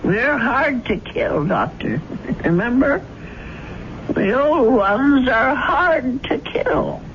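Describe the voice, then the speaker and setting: An actress playing a tough, sly old woman in a 1970s radio drama, speaking in a pointed, drawn-out way. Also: high-pitched, lively intonation. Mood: sly, knowing. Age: older. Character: tough, frail-sounding old woman, slyly hard to kill. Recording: dull, noisy old radio broadcast.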